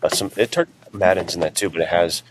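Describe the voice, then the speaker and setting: A man's voice: low-pitched, quick pace, noisy background. A man co-hosting a podcast, speaking in a casual, conversational way. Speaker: podcast co-host and writer.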